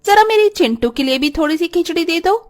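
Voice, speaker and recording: A woman playing a friendly, chatty visitor in a Hindi cartoon story, with an animated way of talking. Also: very high-pitched, fairly quick. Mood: delighted. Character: friendly, chatty female visitor in a village household.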